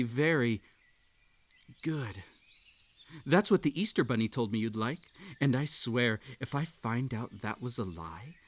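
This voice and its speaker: A man playing an unhinged, possessive bunny hosting a sinister tea party in a roleplay audio drama, coaxing and falsely polite. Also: medium pitch. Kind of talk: scripted roleplay audio drama addressed to the listener.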